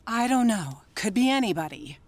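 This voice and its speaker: A woman's voice: medium pitch, sing-song, moderate pace, darkish tone. A volatile, sardonic, weary woman. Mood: wry, guarded. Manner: guarded, sardonic, prickly. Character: defiant, weary, sardonic troubled woman, guarded. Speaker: actor in an audio drama. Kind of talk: naturalistic radio drama dialogue.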